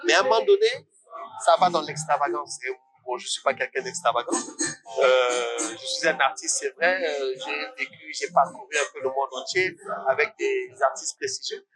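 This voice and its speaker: A man's voice: medium pitch, moderate pace, music in the background, rough recording. A man from Congo, a musician talking as a guest in a casual interview, passionate and rising to indignant questions.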